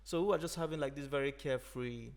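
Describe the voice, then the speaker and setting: A man from Nigeria, an Igbo speaker, talking in a thoughtful, unhurried way as a podcast host. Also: medium pitch. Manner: thoughtful, unhurried, frequent "you know". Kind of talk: reflective conversation.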